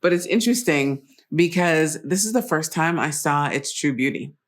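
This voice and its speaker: A woman's voice: deep voice, animated, moderate pace. A woman hosting an interview in a casual, conversational style. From Trinidad and Costa Rica background. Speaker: interview host.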